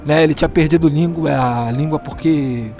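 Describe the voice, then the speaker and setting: Guest: Brazilian man, highish voice, rambling storyteller who chuckles, light music in back. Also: a little fast, darkish tone. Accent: Brazilian Portuguese. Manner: meandering, searching for words, chuckling. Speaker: guest, fellow tabletop player. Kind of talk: casual storytelling. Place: stairwell of an apartment building.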